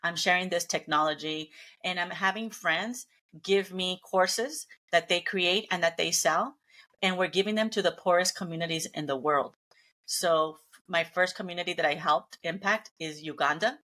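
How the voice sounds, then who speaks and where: Earnest, bright-voiced woman guest. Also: fairly low pitch, moderate pace. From Mexican family, grew up in Los Angeles. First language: Spanish. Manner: earnest, upbeat, motivational. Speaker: guest, speaker and entrepreneur. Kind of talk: casual interview.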